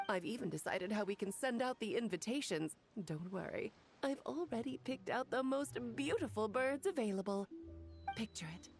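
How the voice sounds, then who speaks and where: Playful young female game character. High voice, swooping delivery, teasing and theatrical, music behind. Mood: breezy, teasing, then dramatic storytelling. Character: playful, mischievous, theatrical young woman who loves parties. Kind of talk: video game voice acting.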